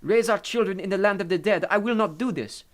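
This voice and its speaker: Man voicing stubborn, doting Italian mother, raised pitch. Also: brisk, lightly acted. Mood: firm, loving.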